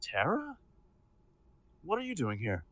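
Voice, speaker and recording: A man's voice: a little high, huge pitch swings, measured pace, bright, forceful tone, no room sound, exaggerated voice. An actor playing a cocky young male supervillain with a casual, theatrical manner in an audio drama. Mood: groggy, sheepish.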